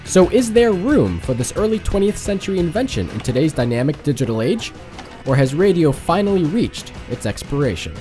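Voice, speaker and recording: Young man narrating with lively pitch. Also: a little fast. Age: young adult. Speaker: documentary narrator. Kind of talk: scripted documentary narration. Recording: music bed under narration.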